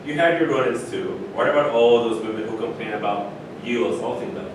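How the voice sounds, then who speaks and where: A man's voice: a little fast, close to an everyday voice. Man playing a skeptical needler, very echoey room. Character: skeptical, needling man who challenges his peers. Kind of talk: staged play reading. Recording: echoey room, distant mic, noisy rumble.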